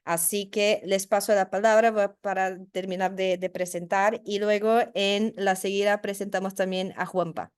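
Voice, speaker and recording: A woman's voice: mid-range voice, little pitch movement, normal speed, few pauses, clear, resonant tone. A woman moderating an online session, introducing the guest speakers by reading their bios. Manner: reads speaker bios formally. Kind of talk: webinar moderation.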